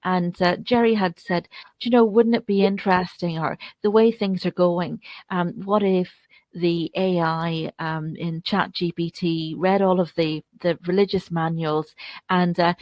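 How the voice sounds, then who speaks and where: A woman with a slightly low voice, recorded very dry and close. She talks as an interviewer in a casual, conversational way, rambling a little as she works through her thoughts. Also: darkish tone, dry recording. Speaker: interviewer, host.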